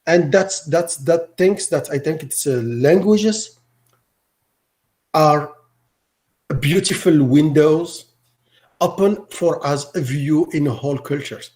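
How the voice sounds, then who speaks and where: Arabic-speaking man, halting with restarts. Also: fairly high pitch, a little mellow. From Morocco. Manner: halting, many repeated words and restarts. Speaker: teacher. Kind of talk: interview answers.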